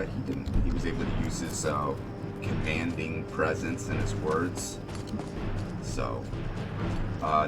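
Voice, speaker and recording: A man giving casual commentary on a roleplay game, talking in a measured, halting way. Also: extremely low pitch. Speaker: gaming video host. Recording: distant mic under loud music. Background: loud game music.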